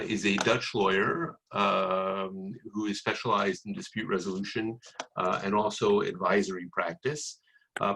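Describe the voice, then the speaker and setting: An older man with a New York accent introducing a webinar panel in a semi-formal, halting way with pauses mid-sentence. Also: lowish voice, gravelly, sharp tone, breathy edge, low-quality recording. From New York City, United States.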